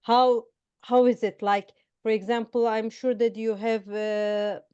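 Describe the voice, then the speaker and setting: A woman's voice: a little high, level tone, a little slow, darkish tone, clean tone, dry recording. A woman hosting a casual interview chat, feeling her way through roundabout questions padded with "I mean, like.". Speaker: interview host. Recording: close dry mic, dull lo-fi sound.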